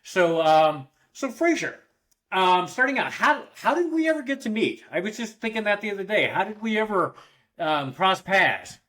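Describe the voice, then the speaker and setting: A man's voice: sits high, sing-song, moderate pace, bright, forceful tone. A man hosting a podcast interview, asking questions with frequent fillers and short agreeing replies. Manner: friendly, many short backchannels and fillers. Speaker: podcast host. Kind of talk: casual interview.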